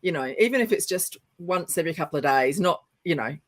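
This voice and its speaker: A woman's voice: fairly low pitch, lively intonation, fast. A woman chatting casually as co-host of a talk show, self-deprecating and full of restarts. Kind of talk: casual chat.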